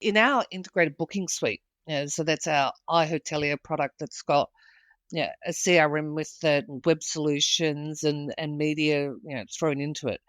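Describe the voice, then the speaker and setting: A woman's voice: deep voice, steady tone, moderate pace, dry recording. A woman with an Australian accent, a guest on a business podcast, answering in a confident, businesslike manner. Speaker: guest, hospitality executive. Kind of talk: interview answers.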